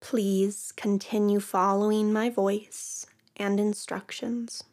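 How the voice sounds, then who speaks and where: A woman teaching yoga nidra, guiding a relaxation practice in a soft, soothing way with long pauses. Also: mid-range voice, little pitch movement. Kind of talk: guided meditation.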